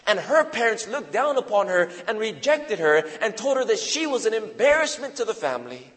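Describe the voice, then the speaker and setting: A man in his thirties from Hawaii preaching a sermon with personal testimony, slow and dramatic, often dropping low and quiet for emphasis. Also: sing-song. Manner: slow, dramatic pauses with deep drops for emphasis. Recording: long reverb of a large hall.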